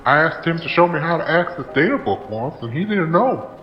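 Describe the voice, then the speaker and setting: A man's voice: fairly high pitch, sing-song, a little fast, reverberant, lo-fi sound, faint music behind. A man playing a nosy, cranky old neighbor in a noir audio drama, talking like a colloquial old-timer. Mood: gruff, curious.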